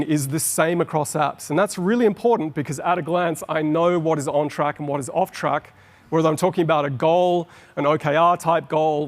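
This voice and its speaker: Aussie guy presenting at a conference, kinda high voice, explains stuff eagerly, big echoey hall. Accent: Australian. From Australia. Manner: explanatory, enthusiastic, quickens on asides. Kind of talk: keynote presentation. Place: large conference hall on stage. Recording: long hall reverb.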